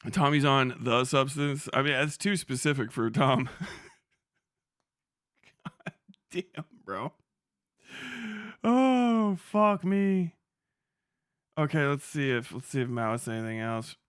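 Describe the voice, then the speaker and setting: A man's voice: medium pitch, slow pace, long pauses, fairly bright tone, clean tone, no echo, clean studio sound. A young man streaming live, commenting casually and laughing a lot while he mocks an online figure with plenty of swearing. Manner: profane, laughing often, mocking.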